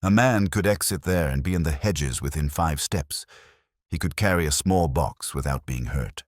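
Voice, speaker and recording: A man's voice: a bass voice, moderate pace, dry recording, clean studio sound. A man reading a scripted literary mystery as its narrator.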